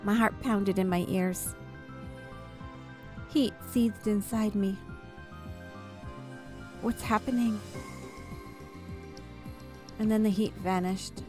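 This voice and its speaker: A woman reading a visual novel aloud for a gameplay video, expressive and dramatic, drawing out her delivery. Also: mid-range voice, slow, long pauses. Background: game music.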